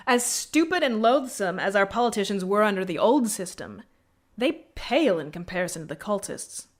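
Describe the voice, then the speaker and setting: Actress as a scornful, sharp-tongued woman tactician. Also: medium pitch, animated, moderate pace, lightly acted. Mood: stern, contemptuous. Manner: curt, sardonic, lecturing, articulate. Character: proud, sharp-tongued female rebel military tactician, stern and scornful. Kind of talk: scripted video game character acting.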